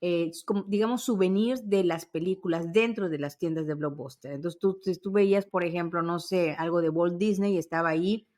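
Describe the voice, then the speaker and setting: A woman's voice: on the low side, moderate pace, hardly any pauses, a little mellow. A middle-aged woman chatting casually as a podcast co-host, reacting readily and explaining things in detail. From Mexico. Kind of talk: casual nostalgic chat.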